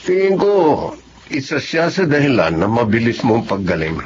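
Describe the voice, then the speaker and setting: A man's voice: medium pitch, very animated, normal speed, dull audio, slight background noise, close to an everyday voice. An actor in a Filipino radio drama playing a caring, reflective doctor who is a friend of his patient. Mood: friendly, reflective.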